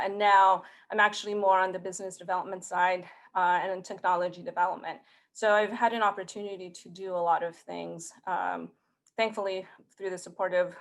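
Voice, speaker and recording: A woman from Mexico whose first language is Spanish, giving a conference career talk in an earnest, halting way with many fillers and restarts. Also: medium pitch. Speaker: conference speaker.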